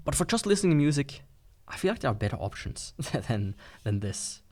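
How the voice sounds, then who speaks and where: A man's voice: mid-range voice, sing-song, fairly quick, a little mellow. Casual, rambling man reviewing a gadget. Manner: rambling, informal, skeptical. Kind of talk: casual unscripted product review.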